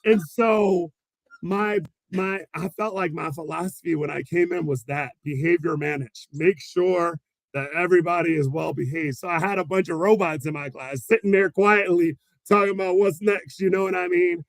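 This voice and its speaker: A man, a special education teacher, answering interview questions in an animated storytelling way, laughing often and acting out quotes. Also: high voice, normal speed, no echo, low-quality recording.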